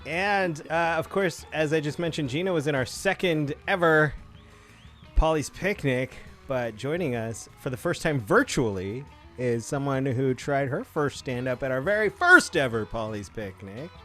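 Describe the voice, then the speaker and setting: A man's voice: medium pitch, lively intonation, moderate pace, a bit bright, soft background music. A man co-hosting a virtual open mic night, introducing performers with upbeat introductions. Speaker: co-host.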